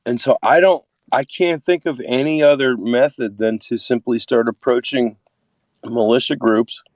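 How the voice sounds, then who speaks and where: A man's voice: mid-range voice, normal speed, a little mellow, no room sound, muffled recording. Vehement, profane man in a dry recording. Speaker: activist. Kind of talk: impassioned spoken monologue.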